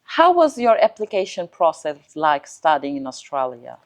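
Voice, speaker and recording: A woman's voice: low voice, sing-song, normal speed. A woman hosting an interview, asking prepared questions in a chatty, encouraging and affirming way. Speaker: host interviewer. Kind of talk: scripted questions with casual chat.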